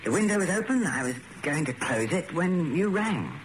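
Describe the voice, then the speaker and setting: A man's voice: high-pitched, sing-song, normal speed. An actor playing an anxious, well-mannered society hairdresser who stammers and flusters his way through a radio drama. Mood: nervous, flustered. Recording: noisy old radio drama recording.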